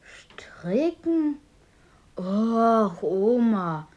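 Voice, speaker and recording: A high child's voice playing a cheeky, football-mad schoolboy grandson in a radio drama. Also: female voice, sing-song, very slow, darkish tone, exaggerated voice. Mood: amused, eager. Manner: protesting, know-it-all, childlike. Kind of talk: 1970s radio drama acting.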